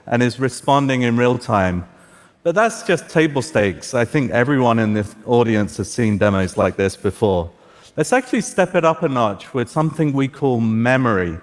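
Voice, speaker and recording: A man whose first language is Farsi speaks on an auditorium stage, presenting a live demo in a loose, joking way with a few "uh"s. His voice sits at an ordinary middle pitch. A long hall reverb trails each phrase. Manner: relaxed, joking, with filler "uh"s. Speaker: tech presenter. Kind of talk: live product demo talk.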